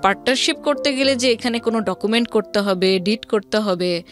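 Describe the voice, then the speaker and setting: Female interview guest, Bangladeshi accent, slightly high voice, conversational storytelling with English words mixed in, music clearly underneath. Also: fairly quick. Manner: mixes English words into speech.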